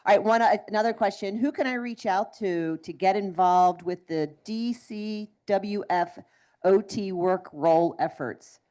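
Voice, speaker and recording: A woman moderating audience questions at a conference, brisk and upbeat. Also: mid-range voice, warm tone.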